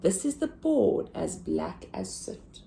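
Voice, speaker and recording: Slow, sing-song woman reading to kids. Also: mid-range voice, wildly swooping pitch, creaky, warm tone. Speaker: children's story reader. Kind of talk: reading a picture book aloud.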